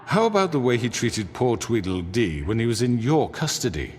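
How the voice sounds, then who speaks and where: An actor playing a smooth-talking crime boss in a video game, speaking with theatrical, mock-polite oratory. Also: male voice, mid-range voice, big pitch swings, moderate pace, natural voice. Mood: sly, needling. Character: smooth-talking male crime boss defending himself before townsfolk. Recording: echoey hall reverb.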